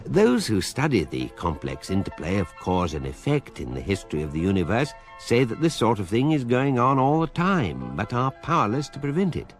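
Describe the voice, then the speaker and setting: An actor narrating a comic science fiction radio drama in a measured, deadpan, authoritative way. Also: male voice, fairly low pitch. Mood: dry, measured. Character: measured, dryly authoritative storybook narrator of galactic absurdities. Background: music.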